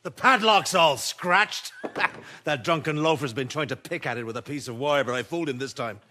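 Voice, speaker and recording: An actor playing a gruff, stingy older husband who reassures his frightened wife. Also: a little high, animated, normal speed, pushed and bright. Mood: smug. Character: gruff miserly older husband, proud, consoling. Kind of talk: stage drama acting.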